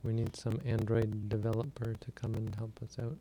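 A man giving a relaxed, plainspoken meditation talk and answering questions on a livestream. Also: lowish voice, a little hiss or hum, poor audio. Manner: plainspoken, unhurried, loosely conversational. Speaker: meditation teacher.

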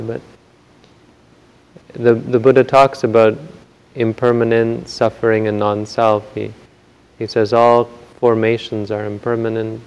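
A man giving a Buddhist Dhamma talk in a very quiet voice, gentle and hesitant, with frequent pauses and restarts. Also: on the low side, gravelly, clean tone, poor audio. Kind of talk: soft-spoken Dhamma talk.

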